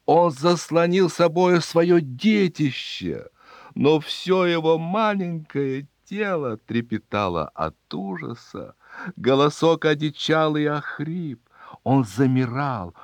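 A man reading a literary short story as a dramatic narration, expressive and with deliberate pauses. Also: mid-range voice, dry recording, clean studio sound. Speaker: audiobook reader. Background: background music.

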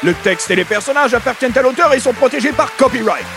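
A man's voice: extremely high pitch, animated, fast, vocal fry, heavily acted. A man playing the announcer of an old-time radio comedy show, reading the credits in a presentational style. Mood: announcing. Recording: loud music behind the voice.

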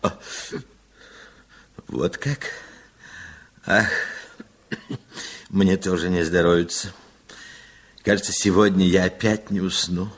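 An actor playing a sly, falsely kind official of forty who speaks in an insinuating way in a radio play. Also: male voice, deep voice, fairly even intonation. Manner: slow, insinuating, feigned sympathy. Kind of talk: 1960s Soviet radio play acting.

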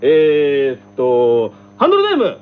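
High, lively male radio host. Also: smooth. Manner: playful, quick to laugh. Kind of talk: casual radio chat. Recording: slightly muffled radio recording.

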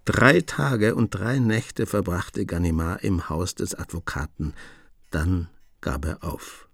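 A man narrating a radio play in a measured storytelling manner. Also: sits low, gravelly, dry recording, studio quality. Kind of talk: scripted radio drama narration.

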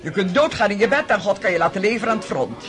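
A woman playing a blunt, bossy older aristocratic matron in a radio play, gruff and authoritative with dry humour. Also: mid-range voice, animated, fast, close to an everyday voice. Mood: scolding, stoic. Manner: gruff, authoritative, dryly humorous.

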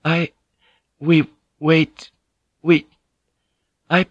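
A man voicing a shaken, obedient Japanese noblewoman in an audiobook, with a threadbare voice and halting delivery. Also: mid-range voice, lively intonation, dry recording, dull audio, pitched above the performer's own voice. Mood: shaken, deferential. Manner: faltering, halting. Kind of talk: dramatic audiobook character voice.

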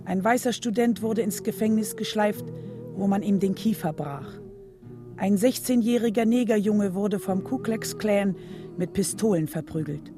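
Eine Schauspielerin spielt in einem Hörspiel eine nachdenkliche Mutter, die ernst und gemessen aus ihrem Leben erzählt. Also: female voice, mid-range voice, music in the background, natural voice. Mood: serious, reflective. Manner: measured, serious. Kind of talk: scripted radio drama narration.

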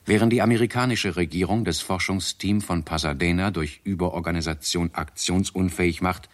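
Male narrator for sixties radio play: very low register, sober, measured, formal. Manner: measured, factual. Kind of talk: formal scripted radio-drama narration, 1960s.

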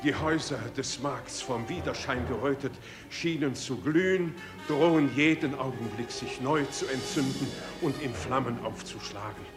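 A man's voice: mid-range voice, normal speed, music in the background. A man narrating literary prose in a radio drama.

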